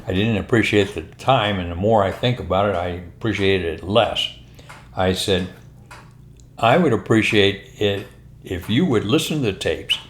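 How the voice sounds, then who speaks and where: An older American man, a former pilot, telling anecdotes in a casual, unhurried, rambling conversational way. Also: on the low side, a little hiss or hum. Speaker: retired military and airline pilot telling stories. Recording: roomy, echoing room sound.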